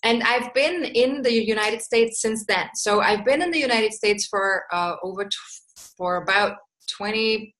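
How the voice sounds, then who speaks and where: A woman's voice: on the high side, unhurried, a bit bright, rough recording. A woman in her forties from Austria, speaking, is an astrologer and coach. She teaches a live webinar in a chatty way and keeps checking in with "right?". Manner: chatty, asks "right?" often, reads out attendees' answers.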